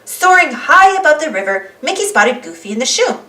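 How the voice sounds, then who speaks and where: A woman reading a children's storybook aloud as an animated narrator. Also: high voice, fairly quick. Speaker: storybook reader. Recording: roomy, slightly distant mic.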